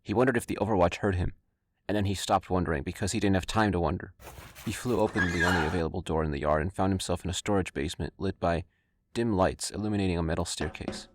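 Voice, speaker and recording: A man's voice: low-pitched, fast. A man reading scripted narration for an audio drama. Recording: clearly noisy recording with clicks.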